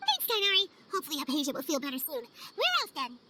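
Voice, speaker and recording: A woman voicing a small, childlike, excitable fairy companion in a video game, earnest and exclamatory. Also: high-pitched, quick pace, very noisy. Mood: friendly. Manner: refers to self in third person, exclamatory. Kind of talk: video game cutscene voice acting.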